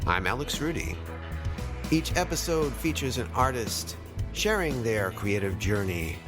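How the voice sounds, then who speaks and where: Middle-aged man hosting a casual podcast. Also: mid-range voice. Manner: conversational, talks directly to listeners. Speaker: podcast host. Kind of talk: casual podcast introduction to a guest.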